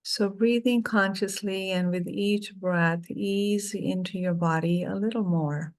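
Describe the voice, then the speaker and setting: A middle-aged woman leading a guided meditation, speaking softly and soothingly with long pauses between phrases. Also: medium pitch, fairly even intonation.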